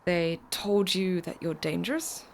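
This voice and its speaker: An actress in an audio drama playing a mysterious woman driver who is calm, insistent and reassuring. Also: on the low side, a little slow, vocal fry. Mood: earnest, knowing.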